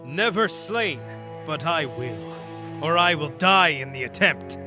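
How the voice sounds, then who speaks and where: A man's voice: on the high side, expressive, slow pace. A man playing an earnest, vengeful Viking dragon hunter in a game fan dub, solemn and literal-minded. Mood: earnest, determined. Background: music.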